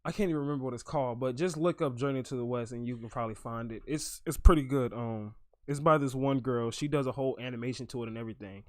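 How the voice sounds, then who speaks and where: A man's voice: mid-range voice, quick pace, few pauses, dry recording. A man in his twenties hosting a casual anime podcast discussion, talking emphatically with plenty of slang and swearing. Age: 20s. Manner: enthusiastic, emphatic, profane slangy talk. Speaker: podcast host.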